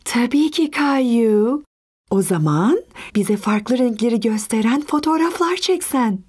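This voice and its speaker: A woman voicing a young boy's kind mother in a children's cartoon dub, gently instructive. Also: fairly high pitch, expressive, unhurried, husky. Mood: encouraging.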